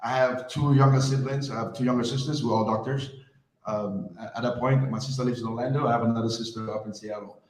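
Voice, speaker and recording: A man's voice: medium pitch, steady tone, fast, a little mellow. A man from France giving a conversational talk to a live audience, often asking the crowd questions. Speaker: conference speaker. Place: event hall. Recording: roomy hall with faint ambience.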